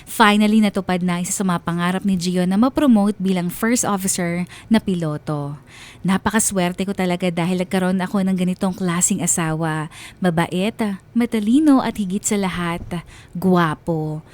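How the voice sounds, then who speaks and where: A woman's voice: fairly low pitch, moderate pace, a little mellow, close to an everyday voice. An actress playing a devoted, wistful wife of thirty-four who narrates her own story in a radio drama. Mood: tender, proud. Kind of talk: dramatized first-person letter narration. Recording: music bed behind the voice.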